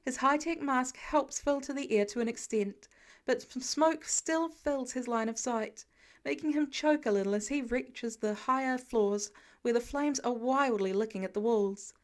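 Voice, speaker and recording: A woman reading scripted fiction as an expressive audiobook-style narrator. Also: on the high side, a little slow. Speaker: podfic narrator.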